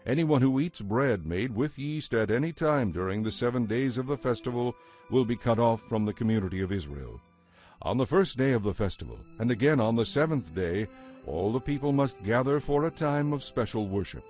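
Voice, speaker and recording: A man narrating scripture in a solemn, measured and authoritative way, as part of a dramatized reading. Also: mid-range voice, lively intonation, a little mellow. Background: background music.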